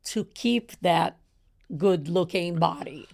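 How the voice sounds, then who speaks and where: A woman's voice: lowish voice, slow pace, vocal fry, darkish tone. A middle-aged woman from Brazil with a Brazilian accent, chatting unhurriedly as a podcast guest and sometimes phrasing things like a non-native speaker. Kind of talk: casual conversation.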